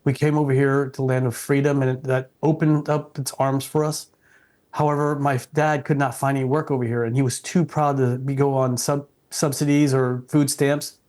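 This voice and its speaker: Earnest middle-aged man with flat delivery. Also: mid-range voice, normal speed. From South Vietnam. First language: Vietnamese. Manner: earnest, respectful, frequent restarts. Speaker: guest. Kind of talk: interview.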